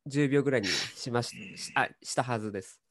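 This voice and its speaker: A man's voice: mid-range voice, fast talker, a bit bright, no room sound. A man talking casually as a co-host on a radio show. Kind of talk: casual chat.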